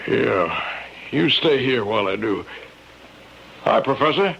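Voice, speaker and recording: An actor playing a stubborn but decent farmer in a radio drama, blunt and folksy, with a gravelly, husky voice. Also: male voice, medium pitch, lively intonation, slow, noisy background. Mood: wary, plain. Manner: blunt, folksy, plain speech. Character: plain-spoken, stubborn, decent Connecticut farmer man. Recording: dull lo-fi old radio recording.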